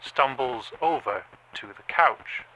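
Sentient surveillance camera in audio drama: very slow, deliberate, detached monotone, mid-pitched, with clear background noise. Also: male voice, low-quality recording. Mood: detached, watchful. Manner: deliberate, halting, monotone reporting. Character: male security camera, cold detached slow-thinking machine observer.

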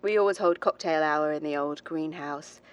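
A woman's voice: low voice, moderate pace, muffled recording, close to an everyday voice. An actress playing a frustrated, dry-witted woman physicist, confiding precisely and wryly in a recorded diary for an audio drama. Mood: wry, weary. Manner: wry, clipped, confiding, precise.

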